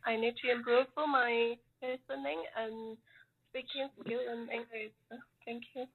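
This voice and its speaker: A woman from Guangdong, China, introducing herself briskly and plainly. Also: fairly high pitch, fairly even intonation, vocal fry, husky. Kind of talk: casual self-introduction. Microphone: online call. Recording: tinny phone-like line.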